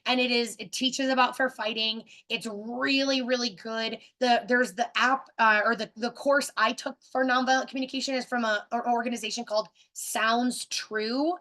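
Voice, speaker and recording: A woman in her thirties hosting a webinar, talking in a direct, energetic way with lots of fillers and restarts. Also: on the high side, moderate pace, few pauses. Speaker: webinar host. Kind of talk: casual teaching talk.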